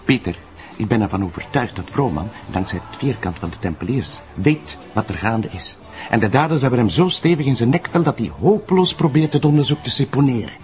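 An actor playing a calm, probing police colleague in an audio drama, speaking with a Flemish accent. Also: male voice, mid-range voice, a little fast, lightly acted. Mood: earnest. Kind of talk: naturalistic radio drama dialogue.

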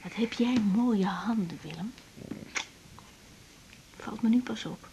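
An actress playing a young doctor, tender and troubled, in an intimate, hesitant radio drama style. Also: female voice, medium pitch, slow, dark tone, a little hiss or hum, poor audio. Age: young adult. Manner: intimate, hesitant, sighing delivery. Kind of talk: naturalistic radio drama acting.

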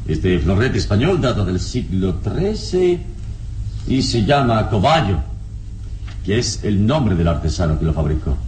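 An actor playing a serene, proud heroic adventurer in a radio drama, measured, dignified and theatrical. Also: male voice, deep voice, darkish tone, slight background noise, poor audio. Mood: admiring.

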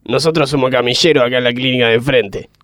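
A man plays a chummy, leering Argentine working guy in a radio sketch. His voice is mid-pitched and slangy, recorded close and dry. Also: fairly even intonation, fast, a bit bright, no echo, natural voice. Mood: chummy, casual. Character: cheeky, leering working-class hospital stretcher-bearer. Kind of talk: radio sketch comedy acting.

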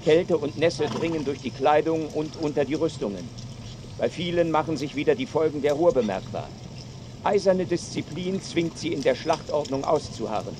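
A man reads dramatic battle narration in the present tense. His voice sits a little high for a man, with clearly noisy ambience behind him. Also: expressive, normal speed.

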